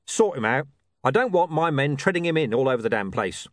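A man's voice: medium pitch, big pitch swings, a little fast, dry recording, studio quality, pitched above the performer's own voice, lightly acted. A man voicing a curt, blunt, irritable and suspicious police commander in an audiobook story. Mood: gruff, irritable.

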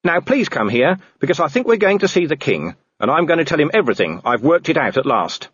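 Man doing a gruff, brisk watch commander. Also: on the high side, sing-song, a bit bright, muffled recording, voice pushed up, exaggerated voice. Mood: urgent. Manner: brisk, clipped orders. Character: gruff middle-aged city watch commander, dry humor.

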